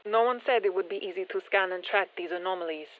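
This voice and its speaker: A woman playing a brisk, tech-minded science operative in a video game, giving matter-of-fact, wry mission instructions over a radio filter. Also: mid-range voice, narrow, tinny audio. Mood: brisk, focused. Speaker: mission handler. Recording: thin band-limited radio comms sound.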